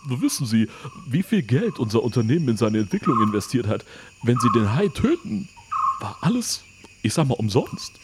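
A man's voice: medium pitch, big pitch swings, normal speed, very noisy, voice pushed up, lightly acted. An actor, a man, voicing a ruthless, dismissive, self-important company boss in parody film dialogue. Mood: cold, ruthless. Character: cold, ruthless male corporate boss villain.

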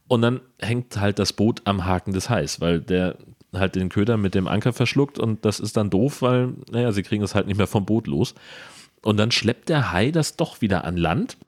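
A man in a casual film discussion as a podcast host, talking in a colloquial, joking way. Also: fairly low pitch.

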